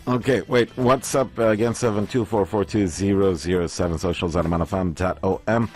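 Deep guy radio host, chatty and goofy, studio mic, bit of music behind. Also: steady tone, brisk, a little mellow. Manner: chatty, jokey, puts on silly voices. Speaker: radio morning show host. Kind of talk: casual radio banter. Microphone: studio microphone.

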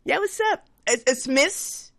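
A woman co-hosting a casual chat, laughing often and talking in animated bursts. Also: high-pitched, unhurried, vocal fry, no room sound, studio quality. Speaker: co-host.

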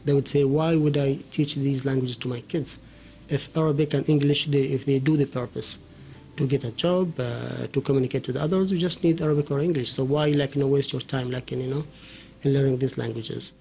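A man from Oman speaking as a linguist guest, explaining in a halting way with many hesitations. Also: medium pitch, steady tone, creaky, dark tone, soft background music. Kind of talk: explanatory podcast talk.

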